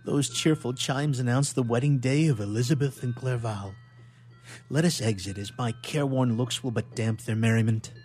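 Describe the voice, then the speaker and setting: A man acting tormented young scientist, medium-pitched, theatrical and declamatory, guilt-ridden, fearful, radio melodrama, music underneath. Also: moderate pace, a little mellow, natural voice. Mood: grave, resolute. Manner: declamatory, archaic theatrical diction. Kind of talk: old melodramatic stage play as radio drama.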